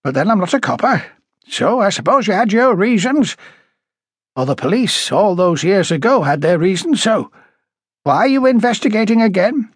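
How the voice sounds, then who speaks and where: A man voicing a blunt, prickly older working-class woman with a high, rasping voice, emphatic and chatty, as audiobook dialogue. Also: big pitch swings, moderate pace, dry recording, clean studio sound, full character voice. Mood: indignant.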